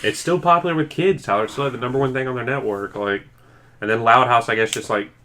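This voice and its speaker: Bright-voiced man in joking podcast chat. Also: medium pitch, fast talker. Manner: rambling, joking. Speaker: podcast host. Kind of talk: casual profane chat among friends.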